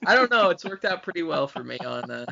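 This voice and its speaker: A man's voice: on the high side, sing-song, fast talker, vocal fry, lo-fi sound, a crowd in the background. A man co-hosting a podcast in casual film chat among friends, rambling conversationally and swearing freely. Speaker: podcast co-host.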